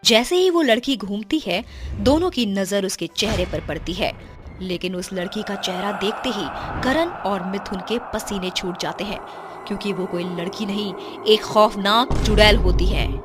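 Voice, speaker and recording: A woman's voice: medium pitch, moderate pace, over music. Woman narrating a scripted horror story.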